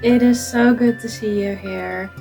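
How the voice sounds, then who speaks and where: A woman's voice: fairly high pitch, steady tone, a little slow, vocal fry, warm tone, faint music behind, poor audio. A young woman whose first language is Dutch, talking through her book picks in an earnest, hesitant way with many ums. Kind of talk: casual book review vlog.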